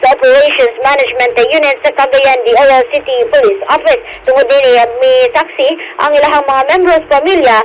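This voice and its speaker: A woman with a Filipino accent giving a radio field report, halting with frequent hesitations and repeats. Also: high voice, steady tone. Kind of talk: news report, partly improvised. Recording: noisy remote radio report feed.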